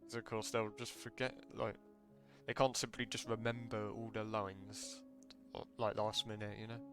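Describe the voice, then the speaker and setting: A man's voice: fairly low pitch, level tone, normal speed, music in the background. Casual, rambling male game streamer. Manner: rambling, conversational. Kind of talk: casual live commentary.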